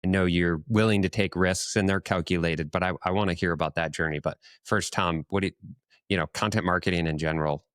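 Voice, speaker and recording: A man's voice: deep voice, fairly even intonation, a little fast, a bit bright, dry recording, studio quality. A man co-hosting a podcast, asking long, conversational questions in a casual, rambling way. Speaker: podcast co-host.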